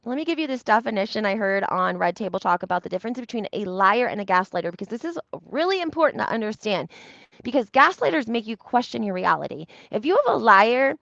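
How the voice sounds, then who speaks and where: A woman's voice: mid-range voice, big pitch swings, quick pace, vocal fry, a bit bright, no echo. A woman giving casual gossip commentary as a video host, speaking emphatically and often repeating herself for effect, on a slightly lo-fi recording. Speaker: YouTube host recapping reality TV. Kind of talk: casual gossip commentary, talking to live audience.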